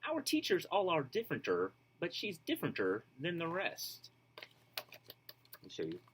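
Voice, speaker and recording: A man reading a children's storybook aloud, expressive and rhythmic, with casual asides. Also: a little high, normal speed, slight background noise. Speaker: storybook reader.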